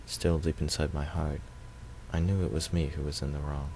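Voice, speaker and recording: A man's voice: rumbling low, level tone, normal speed, vocal fry, mellow, slight background noise, low-quality recording. A man reading first-person visual novel narration aloud.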